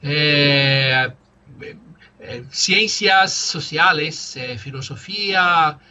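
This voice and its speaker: A man whose native tongue is Portuguese talks slowly, stopping often to hunt for the right word. His voice sounds bright and a little high. The audio is somewhat muffled, with faint background noise. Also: smooth. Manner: halting, searching for words, many fillers. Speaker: language student. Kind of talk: casual conversation practice.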